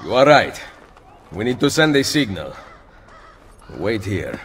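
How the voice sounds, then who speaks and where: A man's voice: lowish voice, sing-song, measured pace, fairly bright tone, slight background noise, exaggerated voice. An actor with an Italian accent playing a stern, dry-witted assassin nobleman in a video game cutscene. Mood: resolute. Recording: game soundtrack behind voice.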